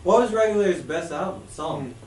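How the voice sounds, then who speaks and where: A man's voice: sits high, animated, brisk, slight background noise. A man joking and teasing his friends in a casual chat. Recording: distant echoey mic, loud music.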